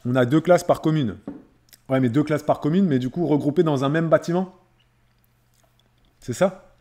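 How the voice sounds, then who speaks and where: A man's voice: fairly high pitch, normal speed, mellow. A man chatting casually as a live streamer, replying to viewers in a conversational way and repeating phrases for emphasis.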